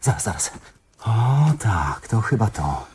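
A man's voice: on the low side, sing-song, slow, darkish tone, a little hiss or hum. A man acting in a radio play as a dreamy, absent-minded avant-garde poet with a theatrical, rambling delivery. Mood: distracted, excited. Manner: theatrical, rambling, head in the clouds.

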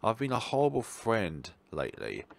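A man's voice: lowish voice, huge pitch swings, moderate pace, creaky, slight background noise, full character voice. A man voicing an anxious, remorseful male student in a horror visual novel, earnest and sometimes urgent. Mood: earnest, apologetic. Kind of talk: visual novel read aloud dramatically.

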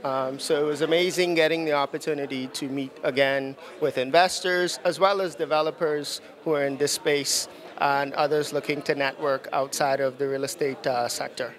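A man's voice: on the high side, fairly even intonation, normal speed. A man in his thirties from the Bahamas, introducing his capital raising firm in an event interview on a handheld mic. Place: busy indoor event, press backdrop.

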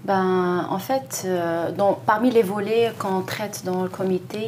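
A woman's voice: fairly low pitch, a little mellow, a little hiss or hum. A young woman from southern Morocco, a graduate student, answering in a studio TV interview with a hesitant delivery full of fillers. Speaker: graduate student, committee volunteer. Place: small TV studio. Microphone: lapel.

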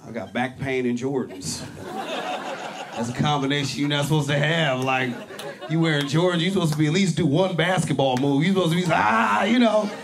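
Forties guy from berkeley doing standup, high voice, crowd cracking up behind him, live club sound. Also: brisk. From Berkeley, California. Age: 40s. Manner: loud, animated, profane punchlines with shouted peaks. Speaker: stand-up comedian. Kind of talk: stand-up comedy set. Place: comedy club stage. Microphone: handheld mic. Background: audience laughter.

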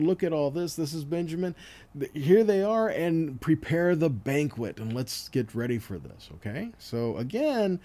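A man's voice: medium pitch, mellow. A man giving a Bible teaching, reading verses and explaining them earnestly, often checking in with his listeners. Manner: earnest, explanatory, with check-in tags like "okay?" and "right?".

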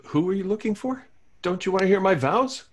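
A man's voice: high-pitched, lively intonation, fairly quick. A man reading an earnest, lovesick radio host. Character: lovesick awkward male radio host groom. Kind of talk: table read.

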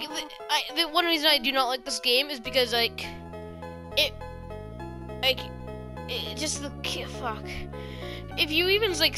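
A woman giving casual, rambling let's play commentary as a gaming host, swearing often. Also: high-pitched.

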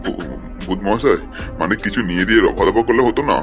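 A man's voice: low voice, fast, close to an everyday voice. A man playing a flustered, cowardly boss in a comic cartoon, rambling and stammering. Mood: frightened pleading. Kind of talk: amateur comic cartoon dub. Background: faint background music.

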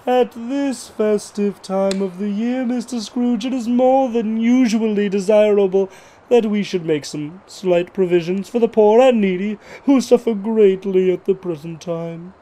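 An actor playing an earnest charity collector in an audio play, formal and deferential. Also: male voice, sits high, warm tone.

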